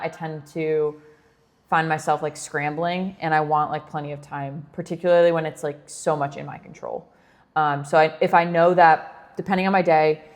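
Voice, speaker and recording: A woman's voice: deep voice, in a large hall. A woman in her thirties co-hosting a podcast in casual chat, chatty with lots of fillers and frequent laughter. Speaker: podcast co-host.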